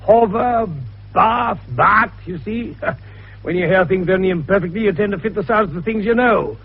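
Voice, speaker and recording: Shrewd, expansive older British amateur detective, 1950s radio drama; husky, gravelly, avuncular, on a muffled recording. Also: male voice, high voice, normal speed. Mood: explaining triumphantly. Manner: avuncular, folksy, expansive, teasing. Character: jovial, shrewd, wheezy older amateur detective doctor, male. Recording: dull vintage radio recording.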